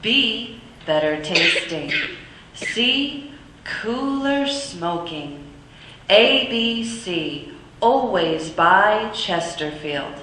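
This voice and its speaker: A woman reads a vintage radio commercial very slowly, in a crisp, rhythmic cadence. Her tone is bright and her pitch is middling. The sound is echoey, with faint noise behind it. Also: animated. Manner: slow, crisp, rhythmic delivery. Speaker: announcer. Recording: echoey old radio broadcast.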